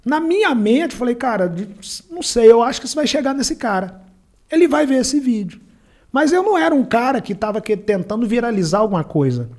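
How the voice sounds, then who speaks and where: High-pitched, fast-talking Brazilian man telling stories. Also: lively intonation, a little mellow. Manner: acts out dialogue as he recounts, colloquial and emphatic. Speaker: podcast guest, entrepreneur and former beach water vendor. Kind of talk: animated first-person storytelling in conversation.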